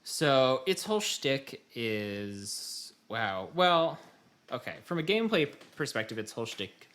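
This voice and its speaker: A man's voice: mid-range voice, big pitch swings, unhurried, a bit bright. A man co-hosting a gaming podcast, chatting casually in a joking, rambling way. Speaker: podcast host.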